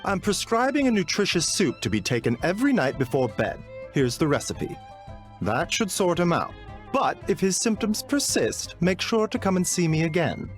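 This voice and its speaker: A man playing a calm, reassuring doctor in a video game, with a measured professional manner. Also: high-pitched, faint music behind. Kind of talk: English video game voice acting.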